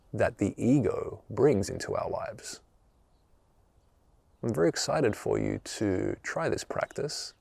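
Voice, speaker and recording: A young man hosts a reflective podcast, speaking in a calm, gentle teaching tone. His voice is dark and slightly husky, with a gravelly edge. The sound is dry and close, with no room echo. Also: lowish voice. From Australia. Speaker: podcast host. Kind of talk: reflective solo podcast talk.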